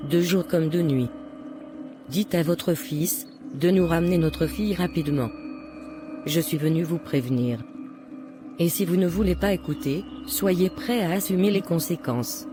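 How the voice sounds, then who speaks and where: A man's voice: on the high side, natural voice. Man playing menacing, commanding mother, music behind. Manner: threatening, commanding. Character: menacing, hostile mother of a runaway young woman.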